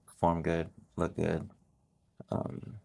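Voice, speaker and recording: Deep-voiced middle-aged man, gravelly, monotone, casual podcast guest. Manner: relaxed, halting, with many restarts. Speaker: podcast guest, host's husband. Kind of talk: casual interview talk.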